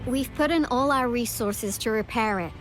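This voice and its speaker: An actress playing a composed, courteous defense director in a video game, speaking formally and politely. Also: female voice, fairly high pitch, moderate pace, music in the background, lightly acted. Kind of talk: video game character dialogue.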